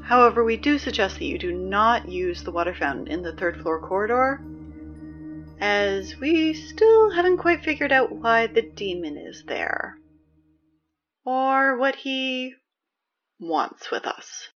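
A woman playing a reluctant, easily flustered high school announcer in an audio drama, awkward and deadpan with weary, exasperated asides. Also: unhurried, highs cut off, faint music behind. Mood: weary, uneasy.

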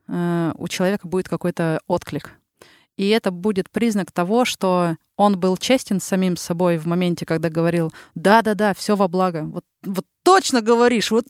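A woman in her thirties from the Urals with a Ural Russian accent, a psychologist talking slowly and reflectively as a podcast guest, with frequent filler sounds. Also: lowish voice, no room sound, studio quality. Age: 30s. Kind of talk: casual interview talk.

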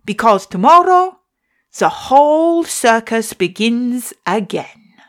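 A woman's voice: a little high, very animated, slow pace, a bit bright, voice pushed up, heavily acted. A woman putting on a worldly Swedish horse rider. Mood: advising. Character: famous male Swedish rider, older mentor.

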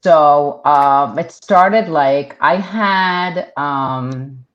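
A woman's voice: low-pitched, drawn-out words. A middle-aged woman from Israel whose first language is Hebrew, chatting as a guest in an interview, with lots of fillers and restarts. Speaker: realtor guest. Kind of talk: casual interview talk. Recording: dull lo-fi sound.

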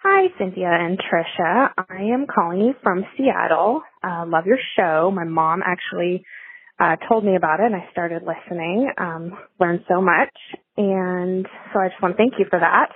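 A woman's voice: fairly low pitch, measured pace, slightly rough, no echo. A woman in her thirties calling in with a question, hesitant and full of ums. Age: 30s. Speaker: caller. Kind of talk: recorded voicemail question. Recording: phone line.

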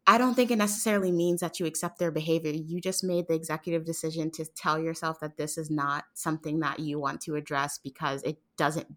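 A young woman talking in a relaxed, thoughtful podcast chat, with lots of "like" fillers. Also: low-pitched, brisk, hardly any pauses. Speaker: guest.

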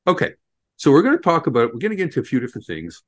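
A man's voice: a little high, huge pitch swings, rapid-fire. A man hosting a podcast in a casual, enthusiastic way, often laughing and restarting his sentences. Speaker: podcast host.

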